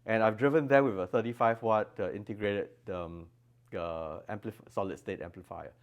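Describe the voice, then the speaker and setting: A man's voice: on the low side, moderate pace. A man presenting products as a designer at a trade show, explaining technical details with emphasis. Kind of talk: product demonstration in an interview. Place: exhibition room at an audio show.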